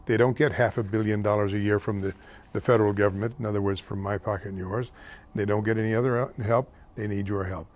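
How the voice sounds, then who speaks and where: An older man hosting a local talk show, speaking haltingly with many fillers and slips over names, louder and more heated on politics. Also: lowish voice, fairly even intonation. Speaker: host.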